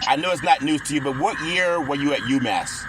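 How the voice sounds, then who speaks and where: A man hosting a sports talk radio show, animated and quick with interjections, asking a guest questions. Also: fairly high pitch. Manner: animated, chanting, laughs often, quick interjections. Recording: noisy radio broadcast.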